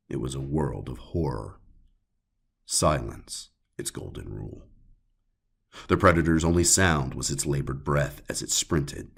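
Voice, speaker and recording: Horror narrator: male, extremely low and full of gravelly fry, dramatic delivery in broken phrases. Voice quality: gravelly, husky. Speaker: audiobook narrator. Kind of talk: scripted horror story narration.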